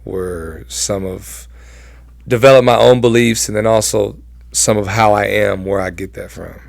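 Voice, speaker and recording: A man's voice: lowish voice, a little slow, vocal fry, no echo, clean studio sound. A young American man telling personal stories casually as an interview guest, in informal, slangy speech. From Southern California, United States. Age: young adult.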